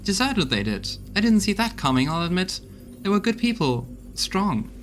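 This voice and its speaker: A man's voice: high-pitched, big pitch swings, normal speed, fairly bright tone, soft background music. A man voicing a loyal, weary female army officer in a video game, talking in an earnest, folksy way. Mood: grim, earnest. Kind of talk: voiced video game dialogue.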